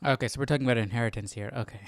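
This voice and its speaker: A man's voice: mid-range voice, fast, music in the background. A man hosting a Bible study livestream, giving casual commentary and thinking aloud as he goes. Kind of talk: casual read-along commentary.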